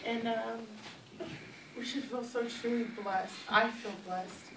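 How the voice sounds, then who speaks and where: Woman, reunion organizer, loud and heartfelt, halting with fillers, higher voice, far mic, echoey hall, heavy noise. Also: steady tone. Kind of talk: emotional closing remarks. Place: large room at family gathering. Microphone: distant from recorder.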